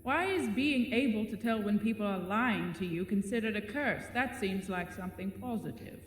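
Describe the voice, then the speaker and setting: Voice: mid-range voice, close to an everyday voice. A performer voicing a courteous spider monster, echoing cavernously. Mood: dryly questioning. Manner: polite, wry, measured phrasing. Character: bored, lonely, courteous giant cave-dwelling spider monster, male. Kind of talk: audio drama acting. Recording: echoey cavern-like reverb, faint noise.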